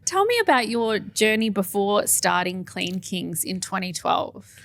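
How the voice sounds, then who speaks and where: A woman hosting a podcast interview, encouraging and quick to laugh, chiming in often. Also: medium pitch, very animated, gravelly, no echo. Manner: warm, encouraging, frequent laughs and backchannels. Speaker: podcast host.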